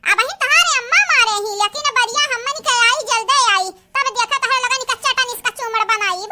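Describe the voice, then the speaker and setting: A woman voicing squabbling, melodramatic village women in a homemade comedy cartoon, with an eastern Uttar Pradesh accent, scolding and exclaiming through a pitched-up effect. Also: fairly quick, bright, forceful tone, heavily acted. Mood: threatening.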